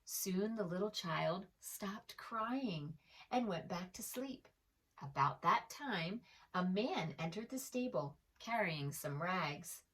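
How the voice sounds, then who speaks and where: A woman storyteller reading aloud to preschoolers in an expressive way, pausing to address the young listener directly. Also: fairly low pitch, recorded from a distance, poor audio. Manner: slow, animated, addresses the young listener with questions. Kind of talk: expressive children's read-aloud.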